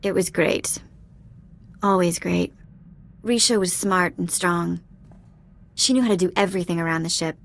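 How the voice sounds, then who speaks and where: A cheeky young alien thief girl for a game, kinda low voice, casual and open. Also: normal speed, creaky, long pauses. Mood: wistful. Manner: casual, slangy, candid. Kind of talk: video game dialogue acting.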